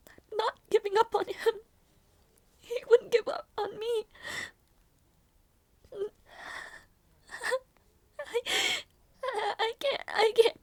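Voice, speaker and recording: High-pitched grieving young princess, voiced by a woman. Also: darkish tone, dry recording. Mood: tearful, desperate. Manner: halting, repeats phrases, emotional. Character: grieving young princess, devoted and stubbornly hopeful. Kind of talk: dramatic audio roleplay monologue.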